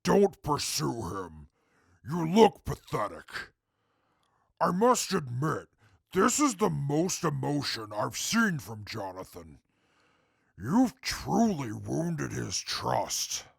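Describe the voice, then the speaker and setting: Guy doing snooty formal radio drama character, really creaky raspy voice, slow and condescending. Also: mid-range voice, big pitch swings, no room sound, full character voice. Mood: coolly mocking. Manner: pompous, formal diction, dry condescension. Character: haughty, coldly formal, cutting male wasteland companion. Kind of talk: post-apocalyptic audio drama acting.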